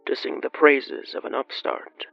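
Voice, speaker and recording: A narrator voicing a grim, measured, wryly amused older man in a horror audio drama. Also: fairly bright tone, highs cut off, close to an everyday voice. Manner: measured, grimly jovial. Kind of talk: audio drama character dialogue. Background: music.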